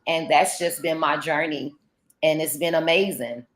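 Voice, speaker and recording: A woman's voice: sits low, normal speed, slight background noise. A woman in her forties, a life coach and teacher, talking about herself in a casual, enthusiastic video call chat. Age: 40s. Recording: echoey room.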